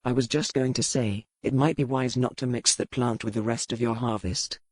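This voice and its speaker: A woman voicing an earnest male physician in a storybook, speaking formally and with measured courtesy. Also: extremely low pitch, steady tone, a little mellow, breathy edge, no echo, voice pushed down.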